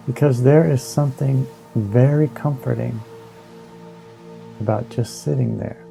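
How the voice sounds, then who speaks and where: A man leading a guided meditation in a slow, soothing, hypnotic manner, with long pauses between short phrases. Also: mid-range voice, gravelly, warm tone, low-quality recording. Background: ambient new-age music with singing bowls.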